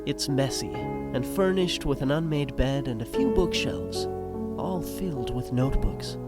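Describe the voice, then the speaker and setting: A man's voice: mid-range voice, lively intonation, a little slow, loud music behind the voice, natural voice. A man playing the wry, dramatic narrator of a scripted audio drama.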